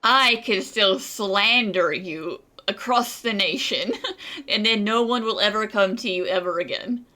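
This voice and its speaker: A woman's voice: medium pitch, unhurried, pushed and bright. A woman giving casual, wry and teasing commentary on a game playthrough in slangy speech. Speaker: gaming streamer.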